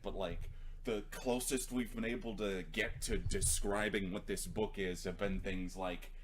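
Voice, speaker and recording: A man in his twenties with a very low voice speaks as an amateur author sharing his own work. His delivery is informal and homemade. Faint noise sits behind him throughout the recording. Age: 20s. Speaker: author reading own story aloud. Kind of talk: casual rambling talk, then reading own prose aloud.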